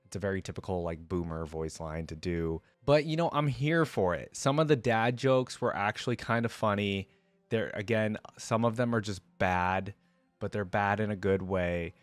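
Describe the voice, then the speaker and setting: Guy hosting a reaction vid, lowish voice, casual chat, dry sound. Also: normal speed, no echo, soft background music. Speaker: reaction video host.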